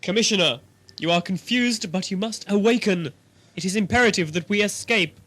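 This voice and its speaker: A man's voice: sits high, moderate pace, a bit bright. A man playing a proud, commanding alien nobleman in an audio drama, speaking in a formal, declamatory way. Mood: urgent, grave.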